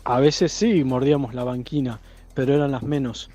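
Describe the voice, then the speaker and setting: A man's voice: mid-range voice, fairly even intonation, moderate pace, mellow. A man with an Argentine accent giving reflective interview talk.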